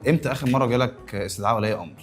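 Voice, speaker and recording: A man's voice: mid-range voice, rapid-fire. A man with an Egyptian accent in casual, joking banter as a panelist on a guessing-game show. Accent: Egyptian Arabic. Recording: echoey, distant mic, loud music behind.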